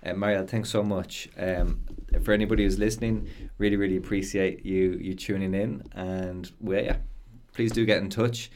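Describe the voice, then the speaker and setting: A man's voice: low-pitched, fairly even intonation, normal speed, few pauses, darkish tone, a little hiss or hum. An Irish man hosting a podcast, chatting casually and laughing easily as he tells stories. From Ireland. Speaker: podcast host. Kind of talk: casual interview chat.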